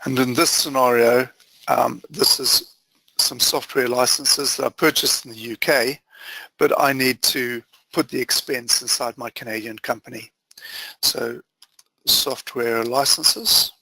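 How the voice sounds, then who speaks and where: A man with a bright, forceful voice and a gravelly edge leads a software walkthrough. He explains each step methodically in short phrases, like a trainer on a screen-share. The sound is close and dry. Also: mid-range voice, steady tone, measured pace, no room sound, low-quality recording. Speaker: software trainer presenting a webinar demo.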